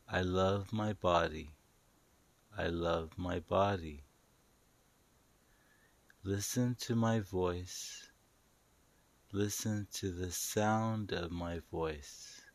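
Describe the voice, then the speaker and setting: A man's voice: deep voice, very slow, vocal fry, long pauses, dry recording. A man narrating a guided meditation in a soothing, instructive, hypnotic manner, repeating short phrases.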